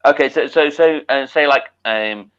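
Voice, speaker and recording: Wry man with dry, drawn-out emphasis. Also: medium pitch, a bit bright, clean tone. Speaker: podcast co-host. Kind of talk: casual comedic chat. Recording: slightly dull lo-fi audio.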